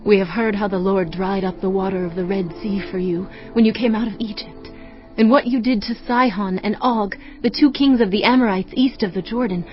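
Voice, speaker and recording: A woman's voice: mid-range voice, close to an everyday voice. An actress playing a fearful but resolute woman of ancient Jericho in a dramatized audio Bible, earnest and dramatic. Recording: slightly dull audio over music bed. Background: faint music.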